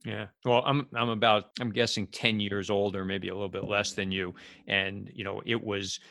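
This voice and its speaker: Middle aged guy hosting, lowish bright punchy voice, chats in rambly stop-start anecdotes. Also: flat delivery, moderate pace, gravelly, dry recording, studio quality. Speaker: interview host. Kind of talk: casual anecdotal interview chat.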